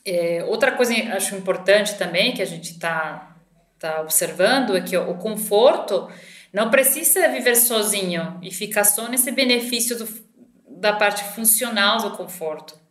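A woman's voice: mid-range voice, measured pace, a bit bright. A middle-aged woman from Argentina with a light Argentine Spanish accent, answering interview questions thoughtfully with frequent hesitations and restarts. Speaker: marketing director guest. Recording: roomy echo.

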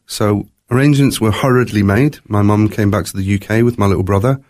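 A man with a low voice and a faint Scottish accent speaks as an interview guest. He tells his own story in a reflective, conversational manner. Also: steady tone, brisk, darkish tone. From born in Scotland, moved to South Africa at three.

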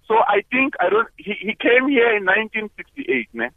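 A man's voice: very high-pitched, normal speed, creaky, dry recording. A man calling in to a radio show, talking hesitantly and searching for words. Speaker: caller. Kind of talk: call-in radio talk. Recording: tinny phone line.

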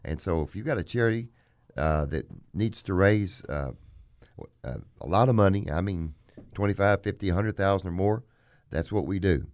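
Deep-voiced auctioneer host. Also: male voice, moderate pace, a little mellow, dry recording. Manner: folksy, plugs his business. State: hoarse, frog in throat. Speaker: auctioneer and radio show host. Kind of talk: casual radio chat.

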